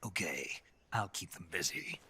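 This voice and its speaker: An actor playing a gruff, guarded special-forces soldier in a video game, terse and deadpan, in a gravelly, breathy murmur. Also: male voice, sits low, a little slow, a little hiss or hum, full character voice. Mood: tense. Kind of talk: video game cutscene acting.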